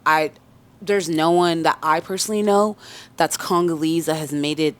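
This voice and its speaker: Young female singer from Canada, French first language, relaxed interview chat, slightly low voice. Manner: chatty, laughs easily, quick bursts.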